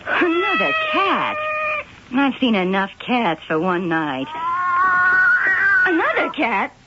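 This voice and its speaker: An actress playing a brash, scheming young nightclub dancer in a radio mystery, with a slangy, pushy way of talking. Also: female voice, fairly high pitch, wildly swooping pitch, takes it slowly, heavily acted. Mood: panicked. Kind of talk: old-time radio mystery acting. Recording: dull noisy old radio broadcast recording.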